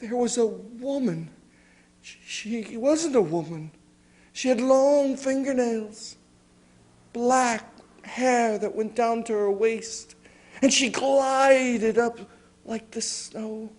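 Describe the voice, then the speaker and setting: A man doing a wistful old woodcutter, pitched up. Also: slow pace, a little mellow. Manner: hesitant, wistful telling. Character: old woodcutter husband, gentle and reminiscing.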